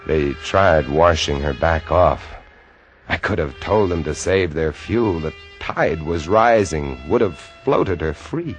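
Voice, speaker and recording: A man playing a haunted, desperate lighthouse keeper, narrating his ordeal with intense, deliberate dramatic pauses in a vintage 1950s radio drama recording. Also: extremely low pitch, animated, soft background music, heavily acted. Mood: hushed, anxious.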